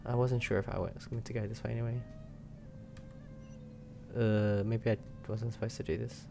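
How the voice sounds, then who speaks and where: A young man giving casual, relaxed playthrough commentary, musing aloud as he plays. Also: lowish voice, normal speed, vocal fry, over music. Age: young adult. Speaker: game streamer. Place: small room indoors.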